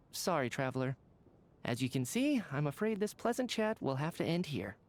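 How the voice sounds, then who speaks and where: Talkative polite official from a video game, easygoing, theatrical, pitch all over the place. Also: male voice, very animated, measured pace. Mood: flustered. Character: chatty, easygoing, polite male energy official. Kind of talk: video game character dialogue.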